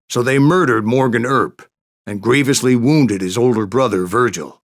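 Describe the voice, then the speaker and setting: An actor playing an old Wild West bounty hunter who tells boastful tall tales in a folksy American Western drawl for a video game. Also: male voice, lowish voice, a bit bright, no room sound, studio quality. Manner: folksy, salty, cussing storyteller. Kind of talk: theatrical storytelling narration for a western video game.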